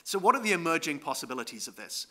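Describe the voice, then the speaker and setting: A man's voice: a little high, sing-song, fast, sharp tone. A man giving a conference talk over slides, explaining step by step with frequent ums and "right?" checks. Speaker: conference presenter.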